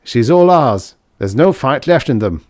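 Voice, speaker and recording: A strained, uneasy soldier from a boarding party played by a man reading a story. He reports tersely, pitched up, in a dry science fiction audiobook. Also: lively intonation, unhurried, a little mellow, no room sound, close to an everyday voice.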